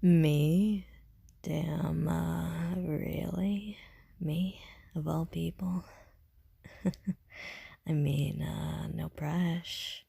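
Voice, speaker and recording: A deadpan, awkward young slacker woman, voiced by an actress in an audio roleplay. Her voice is low and breathy, and she mumbles slowly in self-deprecating slang. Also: no room sound, studio quality, heavily acted. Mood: flustered.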